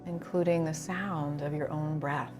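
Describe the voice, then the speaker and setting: A woman leading a guided relaxation as a yoga instructor, soothing and unhurried, with pauses between phrases. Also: low voice, steady tone, over music.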